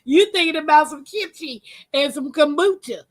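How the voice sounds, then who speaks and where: A woman's voice: high voice, moderate pace. A woman, hosting a casual livestream chat and teasing her co-host with frequent laughter. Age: 40s.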